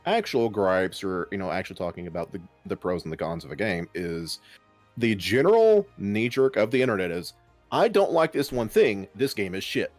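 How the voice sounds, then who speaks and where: Lively low-voiced Kentucky man. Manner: animated, mocks internet opinions in quotes. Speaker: podcast panelist. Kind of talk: casual group chat.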